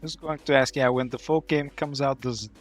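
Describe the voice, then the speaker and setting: A woman's voice: a bass voice, steady tone, normal speed. A woman co-hosting a podcast, chatting casually and teasing the guests. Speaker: podcast co-host. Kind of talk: casual interview chat.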